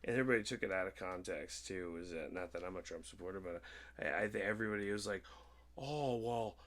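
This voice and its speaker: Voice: deep voice, quick pace, a bit bright. A podcast host chatting casually and giving opinions in an informal, emphatic way.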